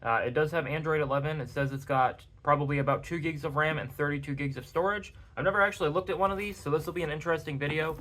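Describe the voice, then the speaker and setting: A young man with a raspy, hoarse voice from a cold, reviewing a tech gadget in a casual, rambling way at home. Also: on the high side, quick pace, few pauses, pushed and bright. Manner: rambling, self-correcting.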